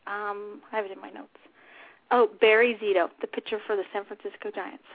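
A woman's voice: medium pitch, steady tone, fairly quick, slightly rough, no echo. A woman with a scratchy voice hosting an unscripted talk show, chatting casually and informally. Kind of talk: unscripted casual radio chat. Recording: tinny phone-line internet radio audio.

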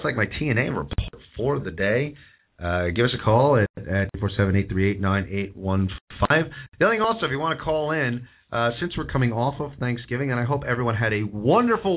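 A hoarse man hosting a call-in wrestling talk show, rambling and opinionated, repeating himself as he goes. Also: lowish voice. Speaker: host. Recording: tinny phone-like audio.

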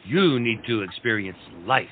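A man's voice: medium pitch, animated, normal speed, close to an everyday voice. Man acting exuberant French marquis, tinny line. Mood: rousing, earnest. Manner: grand, rousing, peppered with French phrases. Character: exuberant, idealistic French marquis urging his friend on. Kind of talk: theatrical comic period audio drama. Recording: tinny phone-like line, clear background noise.

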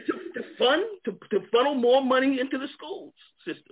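A man's voice: very high-pitched, fairly quick. A man with a New York accent discussing education funding earnestly, often restarting his words. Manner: earnest, many repeated restarts. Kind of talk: animated discussion on a call. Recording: tinny phone-like line.

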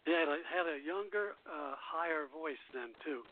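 A thin, phone-like recording of an older man hosting a radio program. His voice sits a little high for a man, and he moves between scripted narration and casual asides. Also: normal speed. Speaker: radio show host. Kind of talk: reading scripted music history with casual asides. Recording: thin phone-line audio.

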